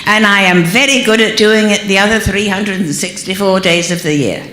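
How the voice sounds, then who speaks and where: A woman acting a sarcastic, middle-aged, long-married wife in a live comedic dramatic reading, with a dry, deliberate delivery. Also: medium pitch, bright, forceful tone, lightly acted. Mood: sarcastic, emphatic. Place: church sanctuary, live performance. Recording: echoey live room, uneven level.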